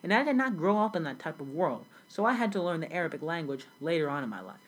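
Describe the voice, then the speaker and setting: Brief: teen girl as an immigrant storyteller, low and husky, earnest and scripted. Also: lively intonation, fast talker. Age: young teen. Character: grown immigrant recalling a South Sudan childhood. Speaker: student playing the interviewee. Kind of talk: school project role-play interview.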